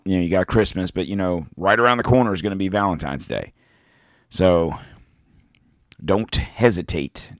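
A man hosting a podcast in a casual, rambling monologue, his voice hoarse from throat trouble, reading sponsor spots along the way. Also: sits low, fairly bright tone, no echo, clean studio sound. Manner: rambling, self-deprecating, reads sponsor ads. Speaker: podcast host. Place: home podcast studio.

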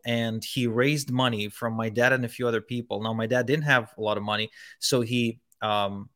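A man's voice: mid-range voice, a bit bright. Man from Ukraine telling his story. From Ukraine, later Israel. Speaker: podcast guest, online marketing entrepreneur. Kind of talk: conversational personal storytelling.

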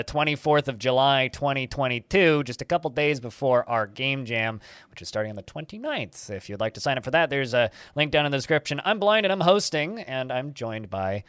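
A man's voice: medium pitch, a bit bright, dry recording, clean studio sound. A man hosting a casual podcast who tells long, rambling stories full of fillers. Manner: rambling, laid-back storyteller with many fillers. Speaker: podcast host. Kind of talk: casual chat.

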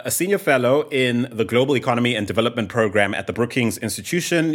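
A man hosting a podcast interview, lively and reading out guest bios at length. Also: mid-range voice. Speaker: podcast host.

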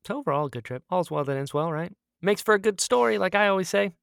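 A man's voice: sits high, lively intonation, quick pace, creaky, fairly bright tone, clean tone, dry recording, studio quality. A man co-hosting a podcast, chatting casually in a jokey, playful manner. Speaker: podcast co-host. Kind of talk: casual chat.

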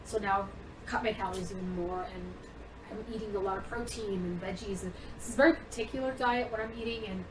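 Animated young woman, roomy and off-mic. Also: mid-range voice, quick pace, far from the mic. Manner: animated, acts out quotes, goes off on tangents. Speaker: comedian and actress guest. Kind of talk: casual storytelling chat. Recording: roomy echo, off-mic, faint noise.

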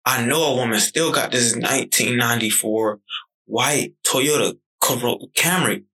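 A man's voice: medium pitch, unhurried, creaky, pushed and bright, poor audio. A young man in his twenties talking casually and animatedly on a podcast, swearing freely as he tells stories. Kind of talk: casual conversation.

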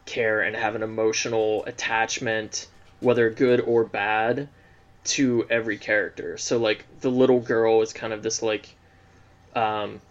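A man's voice: lowish voice, level tone, a little slow, highs cut off. A man chatting on a film podcast, hesitant and full of fillers. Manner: hesitant, many fillers and likes. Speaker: podcast co-host. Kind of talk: casual film podcast chat.

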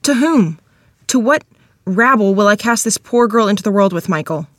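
A woman's voice: mid-range voice, animated, moderate pace, a bit bright, dry recording, studio quality, close to an everyday voice. A woman playing a strong, emphatic Midwestern wife in her thirties in a table-read drama. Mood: disgusted. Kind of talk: table-read screenplay acting.

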